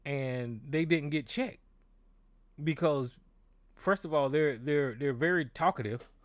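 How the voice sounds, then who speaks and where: A man's voice: fairly high pitch, a little slow, no echo. A man hosting a call-in show, talking bluntly and with a lot of profanity, often restarting his sentences. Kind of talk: casual call-in show monologue. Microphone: desk microphone with board.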